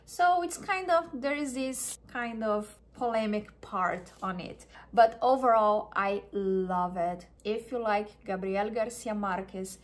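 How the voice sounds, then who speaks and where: A woman from Brazil with a Brazilian Portuguese accent, a native Portuguese speaker chatting enthusiastically to camera and often restarting her phrases. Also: mid-range voice. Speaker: wellness vlogger. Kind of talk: casual chat to camera.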